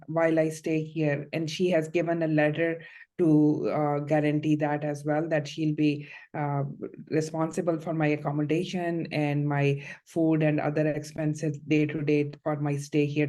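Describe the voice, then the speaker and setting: A woman with a somewhat low voice answers questions at length in a mock hearing role-play. She explains calmly and steadily, with the odd filler. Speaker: student playing a visitor client in a mock hearing.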